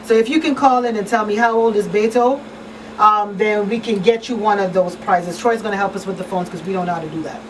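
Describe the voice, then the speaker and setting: A woman's voice: mid-range voice, fairly quick. Earnest woman hosting, slightly distant mic. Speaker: radio talk show host. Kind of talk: conversational advocacy talk. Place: small radio studio. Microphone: boom-arm studio microphone. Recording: distant mic, faint room noise.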